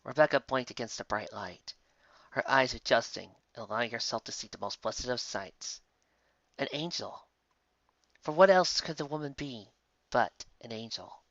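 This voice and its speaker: A man's voice: mid-range voice, moderate pace, a bit bright, no echo, lo-fi sound. A man narrating a story in a dramatic, scripted reading style. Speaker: fan fiction reader.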